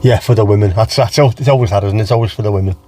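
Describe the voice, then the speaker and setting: Mellow-voiced man with a mild Scouse accent. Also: fairly low pitch, rapid-fire, a little hiss or hum. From England. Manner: chatty, rambling, reads out chat names and answers them. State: low on energy. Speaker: livestream host. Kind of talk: casual chat with viewers.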